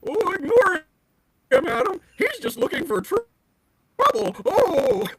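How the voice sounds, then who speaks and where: A timid talking tiger from a comic book, pitched way up high. The voice whines and stammers with wildly lively swings, forever nervous. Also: a little slow, exaggerated voice. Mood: whiny, fearful. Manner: whining, stammering. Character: cowardly, whiny, timid talking tiger pet. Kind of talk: dramatic comic book table read.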